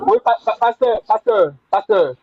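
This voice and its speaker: A man's voice: sits high, expressive, normal speed, slightly rough. A man hosting a heated online debate, insistent and repeating himself as he presses the other speaker. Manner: insistent, repeats words and pleads. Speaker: debate host. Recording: muffled with loud noise.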